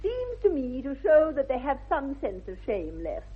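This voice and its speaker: Haughty, high-voiced English society lady. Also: huge pitch swings, moderate pace. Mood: affronted. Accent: English upper-class. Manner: grand, affronted, commanding. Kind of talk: 1960s radio stage-comedy acting. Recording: muffled narrow-band vintage radio recording.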